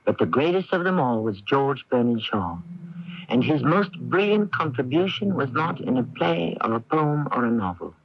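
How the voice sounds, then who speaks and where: A man's voice: mid-range voice, big pitch swings, normal speed, husky, no echo. A man giving dramatic scripted narration as the host of a radio drama. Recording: dull lo-fi 1980s AM radio recording.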